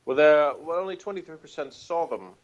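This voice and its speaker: A man's voice: fairly high pitch, animated, fairly quick, no room sound. The audio is dull and lo-fi, like an online call. A man co-hosts with casual, joking banter, wry and sarcastic in his delivery. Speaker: co-host of a review show.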